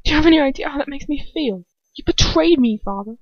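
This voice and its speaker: A woman's voice: high-pitched, expressive, quick pace, no echo, muffled recording, pitched above the performer's own voice. A woman reading an audiobook as an anguished, bitter young wizard son pleading with his father in an emotional, wavering voice. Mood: hurt, accusing.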